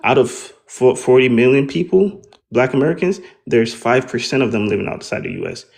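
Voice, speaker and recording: A man's voice: medium pitch, lively intonation, brisk, creaky, darkish tone. A man on a debate panel, insistently laying out percentages to make his point. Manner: rattles off numbers, pointed and insistent. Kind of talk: argumentative debate.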